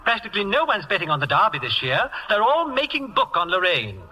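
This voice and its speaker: An actor playing a vain, theatrically affectionate English playwright in an old radio comedy, with an arch, gushing drawl. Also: male voice, sits high, big pitch swings, fairly quick, pushed and bright, full character voice. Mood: airy, amused. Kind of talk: 1940s radio comedy acting. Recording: old radio broadcast in a reverberant hall.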